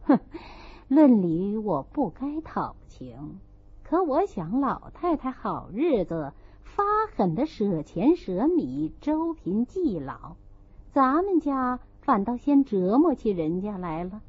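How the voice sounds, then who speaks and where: A woman's voice: a little high, sing-song, slow pace, slightly rough, dry recording, heavily acted. A woman narrator voicing a resentful older noblewoman who pleads with pointed mock humility in a storybook reading. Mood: mock-polite resentment. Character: resentful older noblewoman, feigning politeness, needling. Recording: dull, lo-fi audio.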